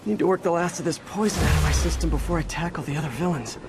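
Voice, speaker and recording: A young American male superhero in a game. His voice is fairly high and conversational, earnest with a wry, self-deprecating edge, and music sits clearly behind it. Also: fast talker. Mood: wry, thoughtful. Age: young adult. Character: earnest, wisecracking 23-year-old male superhero. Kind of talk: naturalistic video game acting.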